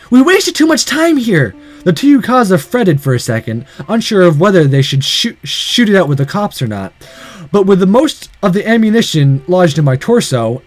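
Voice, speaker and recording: A man's voice: fairly high pitch, darkish tone, no room sound. A man reading a visual novel aloud as dramatic narration, breaking off for sarcastic, profane casual asides.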